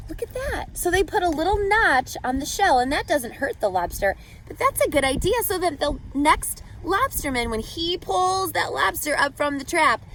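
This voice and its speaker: A woman reading a picture book aloud to children as a teacher, expressively, pausing to ask guiding questions and explain. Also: high voice, big pitch swings, a bit bright, slight background noise.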